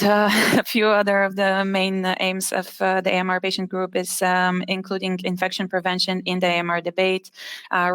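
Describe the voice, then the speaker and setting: A woman's voice: on the low side, a bit bright, smooth, no echo. A woman from Bulgaria, a patient advocate, speaking in an online interview with frequent fillers and restarts. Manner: hesitant, many fillers and restarts.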